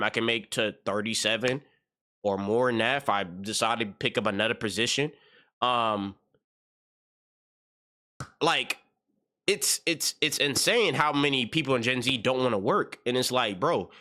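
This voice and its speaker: A man's voice: medium pitch, sharp tone. A man in his twenties hosting a reaction channel, giving slangy, rambling commentary with many repeated words. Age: 20s.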